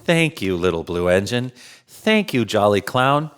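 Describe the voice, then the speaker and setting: A man acts out a jolly old Santa Claus for a children's storybook. He is kindly and given to chuckling, with a pitch that swings widely and merrily. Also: a little slow, smooth. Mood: jolly, delighted. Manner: booming ho-ho-ho chuckles, kindly and animated. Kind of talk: children's storybook read-aloud.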